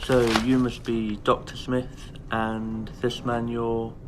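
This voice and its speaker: A man's voice: mid-range voice, monotone, takes it slowly, slight background noise, close to an everyday voice, low-quality recording. A man playing a formal guard on a research spaceship, official and explanatory, in a sci-fi audio drama.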